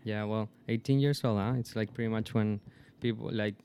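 A man's voice: fairly low pitch, fairly even intonation, brisk, warm tone, slight background noise. A man in his twenties from Chile with a Chilean Spanish accent, a native Spanish speaker, chatting as a podcast guest and often searching for words. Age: 20s. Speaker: guest skydiver. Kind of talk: casual podcast chat.

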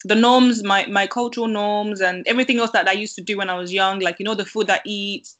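A woman's voice: medium pitch, steady tone, fairly quick, a bit bright. A woman from Nigeria, a Yoruba speaker, chatting casually as a guest and rambling with many restarts. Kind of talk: casual chat.

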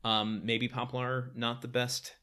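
A man's voice: mid-range voice, level tone, slow, sharp tone. A man co-hosting a podcast in casual chat, laughing often and trailing into fillers. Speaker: podcast co-host.

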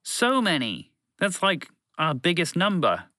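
A condescending alien creature from a storybook, voiced by a man. It argues every point in a bright, raised voice and takes offence easily, with pitch that jumps around in lively swings. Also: a little slow, dry recording, studio quality, exaggerated voice. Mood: insistent pedantic. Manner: condescending, argumentative, casual phrasing.

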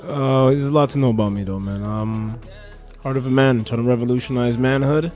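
A man's voice: medium pitch, fairly even intonation, a little fast, darkish tone, highs cut off, faint music behind. A Jamaican man guesting on a casual podcast, talking earnestly and from the heart.

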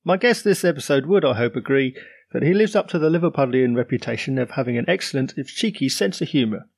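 A man's voice: mid-range voice, expressive, fast, creaky, a little mellow, dry recording. A man from England hosting a comedy podcast, with a playful, punning delivery, reading a scripted intro and then interviewing a guest. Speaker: podcast host.

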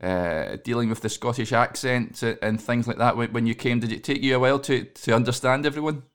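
Male interviewer, medium pitch, casual and halting, feeling his way through each question. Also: clear, resonant tone. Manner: hesitant, halting phrasing.

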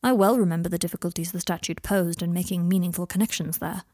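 Woman as a grave, courteous male minister, husky. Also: lowish voice, fairly even intonation, darkish tone, no echo, clean studio sound, natural voice. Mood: measured, diplomatic. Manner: measured, formal, courteous. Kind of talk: audiobook character voice.

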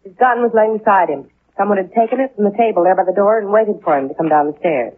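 An actress playing a cool, guarded young widow in a 1950s radio drama, with a self-possessed, understated delivery. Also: female voice, medium pitch, fast talker, slight background noise, natural voice. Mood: guarded, flat. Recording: tinny, phone-like 1950s radio recording.

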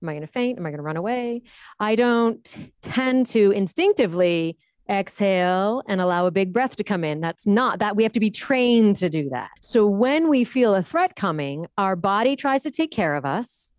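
A woman talks through how the voice works like a coach. She explains patiently and jumps her pitch high or low to demonstrate. The sound is dry, with no room echo. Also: lively intonation, normal speed, a little mellow. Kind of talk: explanatory interview talk with vocal demonstrations.

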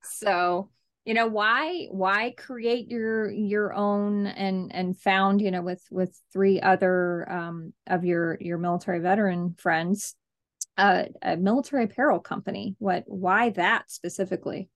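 A woman's voice: medium pitch, fairly even intonation, measured pace. Chatty woman hosting a podcast. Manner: friendly, chatty, laughs easily. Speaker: podcast host. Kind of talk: casual interview.

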